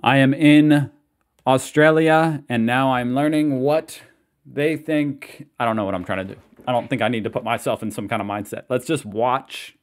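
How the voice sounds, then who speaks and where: A man's voice: mid-range voice, moderate pace. A young American man hosting a reaction video, talking casually with rambling, self-questioning asides from a small room. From United States.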